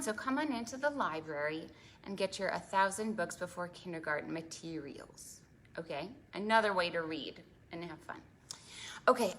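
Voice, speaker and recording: Bright-voiced woman leading preschool storytime. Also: medium pitch. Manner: animated, exaggerated child-directed talk with many questions. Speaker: children's librarian and storytime host.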